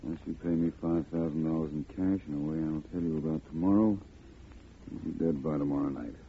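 An actor playing a composed, courteous, analytical private detective in a 1940s radio drama, measured and formal. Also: male voice, very deep voice. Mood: calm, attentive. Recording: noisy, muffled old radio broadcast.

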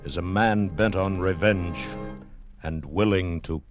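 Prominent music runs under an ominous male storyteller on a muffled old radio broadcast. His deep, mellow voice builds menace and suspense in a dramatic adventure teaser. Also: measured pace. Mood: ominous, suspenseful.

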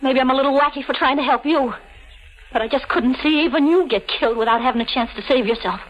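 An actress playing a frightened, soft-hearted gangster's girlfriend, anxious, stammering and slangy, in 1940s radio melodrama style. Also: sits high, quick pace. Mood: urgent warning. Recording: muffled old-time radio recording.

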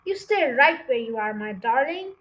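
A woman's voice: sits high, animated, moderate pace, lo-fi sound, a little hiss or hum. A woman playing a proud, careful and weary father fox in a storybook. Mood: resolute, tender.

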